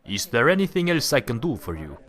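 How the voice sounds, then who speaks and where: A man's voice: mid-range voice, very animated, a little fast, fairly bright tone. A man voicing a polite, formal ship's purser in an amateur radio play. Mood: courteous. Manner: courteous and formal. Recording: busy crowd behind.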